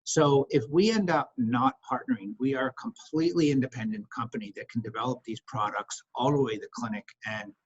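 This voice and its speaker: A husky man speaking as a company executive, answering questions on an investor call in a halting way, repeating words for emphasis. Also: medium pitch. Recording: dull, lo-fi conference call audio.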